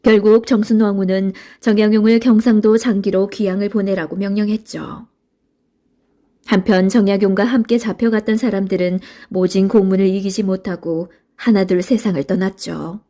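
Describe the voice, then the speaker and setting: A woman's voice: medium pitch, fairly even intonation, normal speed, mellow. A woman narrating a children's storybook in a scripted read-aloud style.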